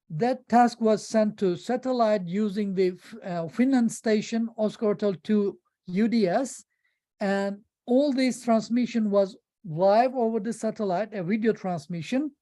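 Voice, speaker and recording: A woman giving an informal slide presentation about her amateur radio work, in halting with frequent pauses. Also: medium pitch, warm tone. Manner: halting non-native English with frequent pauses.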